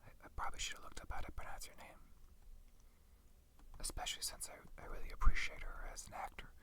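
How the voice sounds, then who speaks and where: An ASMR host from Canada whispering a casual, rambling review in a hesitant, musing, unhurried manner. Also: pushed and bright.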